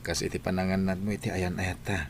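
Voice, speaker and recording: A man's voice: low voice, little pitch movement, fast talker, a little hiss or hum. A man acting a smitten, earnest young boyfriend in a romantic audio drama, with an Ilocano accent. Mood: affectionate.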